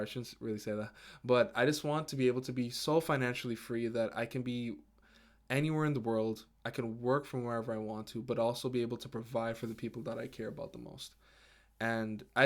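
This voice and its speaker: Man, 20s, grew up in Guyana, podcast host, earnest, halting, medium pitch. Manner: earnest, halting, with restarts and fillers. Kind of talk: casual solo podcast talk.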